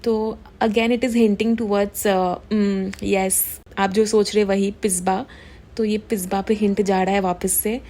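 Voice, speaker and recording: A young woman talks through influencer gossip in a relaxed, chatty way. She mixes Hindi and English as she goes, and her voice sounds mellow and warm. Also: medium pitch, slow. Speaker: gossip commentary host. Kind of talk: casual Hindi-English commentary.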